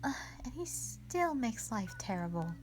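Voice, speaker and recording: A woman's voice: on the high side, sing-song, a little slow, slight background noise. A woman voicing a playful, teasing young female soldier, a bubbly and informal best friend in an audio drama. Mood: whiny. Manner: playful, informal, whiny when tired. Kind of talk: dramatic audio roleplay acting.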